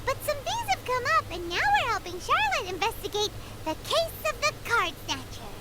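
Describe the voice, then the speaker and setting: A woman voicing a tiny, childlike floating fairy companion in a video game, chirpy and excitable. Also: very high-pitched, moderate pace, heavy background noise. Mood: chatty, teasing. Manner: refers to self in third person, exclaims.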